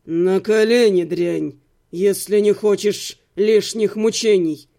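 A woman's voice: medium pitch, pitched below the performer's own voice, natural voice. A woman voicing a cold, condescending male courtier who menaces his captives in a fantasy audiobook. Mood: threatening. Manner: deliberate, condescending, threatening.

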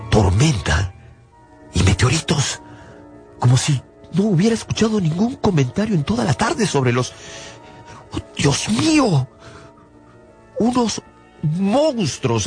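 A man playing an anguished eyewitness in a radio drama, telling his story in a grave, halting, urgent way. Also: a little high, big pitch swings. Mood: anguished, haunted. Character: anguished male eyewitness narrator searching for missing friend. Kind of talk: radio drama narration and dialogue. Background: loud dramatic music.

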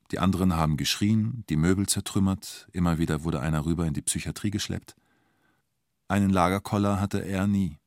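Ein Schauspieler spielt in einem Hörspiel einen nüchternen, sachlich formulierenden Erzähler und Vernehmer. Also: male voice, deep voice, a little mellow, dry recording, clean studio sound. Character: sober male narrator and formal police interrogator. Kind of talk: scripted radio drama narration.

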